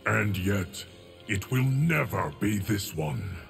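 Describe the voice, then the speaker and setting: An actor playing a solemn, ancient male guardian in a video game, speaking in a measured, formal, grave manner. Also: on the low side, very animated, creaky, a bit bright, faint music behind, exaggerated voice. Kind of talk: video game character dialogue.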